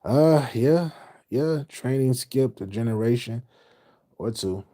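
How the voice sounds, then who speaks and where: A man's voice: medium pitch, animated, slow, dark tone. A middle-aged man from Houston, casually chatting on a late-night livestream and reading comments. He talks loosely in slang, repeating his phrases and trailing off mid-thought. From Houston, Texas. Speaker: livestream host reading chat.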